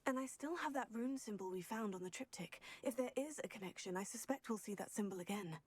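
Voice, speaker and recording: A woman's voice: mid-range voice, animated, fairly quick, breathy edge. An actress playing a bold, determined young student witch in a video game. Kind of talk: video game dialogue acting.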